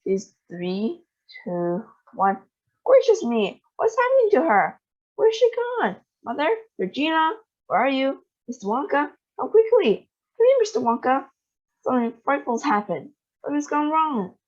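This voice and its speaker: A panicky mother cries out in a shrill, high voice that leaps up and down , as a woman reads her in a storybook. Her words come out as wailing alarm. The recording is a bit dull and lo-fi. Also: lively intonation, measured pace. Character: frantic mother, a middle-aged woman.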